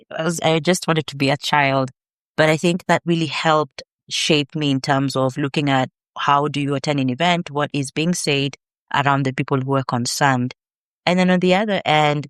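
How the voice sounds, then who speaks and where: A woman with a Kenyan accent, a podcast guest and researcher, telling her story in a measured, earnest way. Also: deep voice, clean tone, no echo, studio quality. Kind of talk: reflective interview answers.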